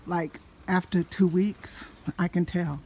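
A woman's voice: on the low side, steady tone, slow pace, mellow. An older woman chatting in a rambling vlog as she walks along a residential street at dusk. She keeps losing her thread and laughs often, filmed on a handheld phone. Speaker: vlogger. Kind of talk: casual walk-and-talk vlog. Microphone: handheld phone, filming the street ahead while walking.

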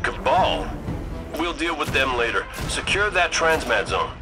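Glib, wisecracking scout commander. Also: male voice, a little high, big pitch swings, measured pace. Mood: brisk, improvising. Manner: glib, breezy, sardonic. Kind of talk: video game mission dialogue. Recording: game music and effects behind.

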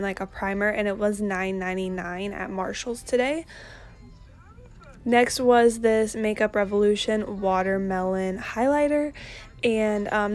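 A young woman narrating a casual shopping haul, chatty and relaxed, with lots of ums and likes. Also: medium pitch. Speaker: vlogger.

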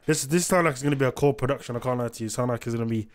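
A man's voice: medium pitch, very fast. Young man reacting casually in street slang. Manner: slangy, street-style talk. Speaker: reaction video host. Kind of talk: casual music reaction commentary. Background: hip hop music playing.

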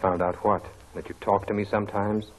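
A man's voice: lowish voice, level tone, fairly quick, natural voice. An actor playing a proud, passionate young stable boy in love, in 1940s radio drama style. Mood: brooding. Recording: dull old radio broadcast recording.

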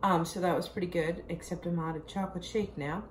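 A woman in her forties, hoarse from a sore throat, chatting in a rambling, self-deprecating way in a casual video diary filmed in her home kitchen. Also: on the low side, steady tone, brisk, slight background noise. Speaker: diet vlogger. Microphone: camera at arm's length.

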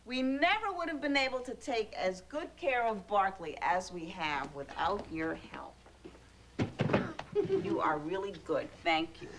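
An actress playing a gentle, encouraging mother, speaking expressively to young children in a children's show. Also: mid-range voice, lively intonation, unhurried, background noise, natural voice. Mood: warm praise. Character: gentle, encouraging mother reading a bedtime poem. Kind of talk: children's show acting and animated read-aloud.

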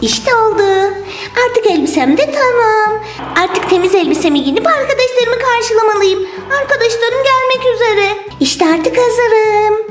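Very high-pitched little doll girl. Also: lively intonation, normal speed. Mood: cheerful. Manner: singsong, exclamatory, affectionate. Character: cheerful little doll girl hosting her friends for tea. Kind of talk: children's toy play storytelling. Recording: roomy sound with music behind. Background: music.

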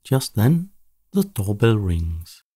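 A man narrating a children's bedtime story in a measured, animated storytelling delivery. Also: lowish voice, husky, no echo, studio quality.

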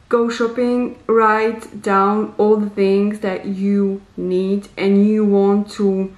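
A woman in her thirties giving deliberate, simply worded advice to camera in slightly non-native English, in a casual instructional vlog recorded in a small bare room. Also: mid-range voice, roomy sound. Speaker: vlogger, host.

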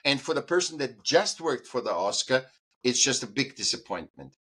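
A man's voice: medium pitch, very animated, normal speed, a bit bright, slightly rough. A man, a guest artist and educator, talking in an interview in an emphatic way, restarting sentences and repeating points for stress. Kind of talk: conversational interview.

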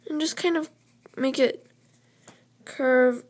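A young woman with a slightly hoarse, fairly high voice walks through a drawing lesson step by step. Her delivery is casual and loose, full of fillers and quick self-corrections. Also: fairly even intonation. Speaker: drawing tutorial host. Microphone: camera over the drawing paper, speaker off frame.

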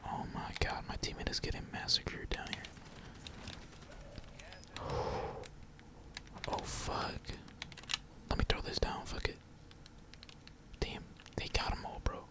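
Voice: slow, pauses often. A gamer whispering slangy, laid-back ASMR commentary while playing, with casual swearing. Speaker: gaming streamer.

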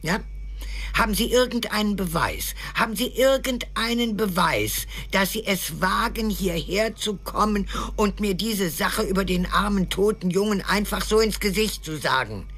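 A woman's voice: mid-range voice, moderate pace, fairly bright tone, breathy edge. An actress in an audio drama playing a bitter, proud old grandmother, weary and sardonic. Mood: indignant. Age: older. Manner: sardonic, probing. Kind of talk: German audio drama acting.

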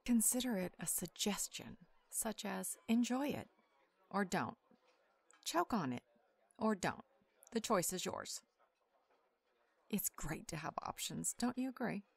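A woman's voice: mid-range voice, lively intonation, measured pace, creaky, pauses often, slightly rough, no echo, heavily acted. An actress playing a sassy, flirtatious part-wolf woman with a menacing edge, sardonic and playful, in an audio roleplay. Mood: coolly menacing.

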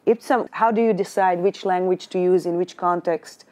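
A woman in her thirties whose first language is Hungarian hosts a studio panel. Her voice is low and mellow, and she asks measured questions. The sound is close and dry. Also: fairly even intonation, no room sound. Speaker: host. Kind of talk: moderating a panel. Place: studio library set.

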